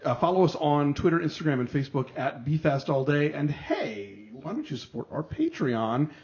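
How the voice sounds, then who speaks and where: A man's voice: on the high side, big pitch swings, darkish tone. A man film critic in a casual review chat, reasoning steadily and closing the show with its sign-off. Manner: measured, reasoning, reads the show's sign-off. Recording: dull lo-fi audio.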